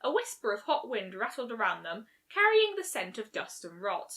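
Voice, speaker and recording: A woman reads a fan fiction story aloud as narrator. Her voice sits a little high, and she follows the written text in a storytelling style. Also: wildly swooping pitch, normal speed, fairly bright tone.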